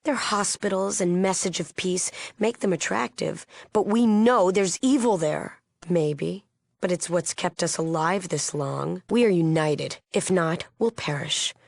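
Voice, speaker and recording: A principled woman leading a peace movement in a post-apocalyptic game. Her voice is lowish with a gravelly creak, recorded very dry. She sounds earnest, formal and firm. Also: a little slow, dry recording. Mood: earnest, resolute. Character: earnest, resolute female leader of wasteland peace movement. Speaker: game character. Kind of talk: scripted video game dialogue.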